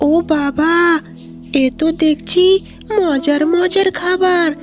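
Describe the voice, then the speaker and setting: A woman acts a cartoon fox husband and father, very high in pitch and slow in delivery. He is easily amazed and exclaims in drawn-out phrases. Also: a little mellow. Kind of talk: cartoon voice acting. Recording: music behind the voice.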